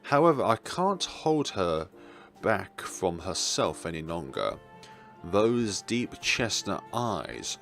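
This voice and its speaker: Guy reading visual novel out loud, lowish voice, super animated, bit of music under it. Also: creaky. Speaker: let's player reading a visual novel aloud. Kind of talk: expressive read-aloud narration of game text. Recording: music playing behind the voice. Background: game background music.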